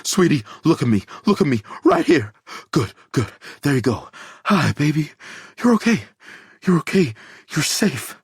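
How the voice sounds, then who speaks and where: A man's voice: on the high side, very animated, quick pace, few pauses, a bit bright, husky, no room sound, clean studio sound, voice pushed up, exaggerated voice. A man voicing a panicked young man with a cracking voice, tending his girlfriend. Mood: soothing. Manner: frantic, voice cracking.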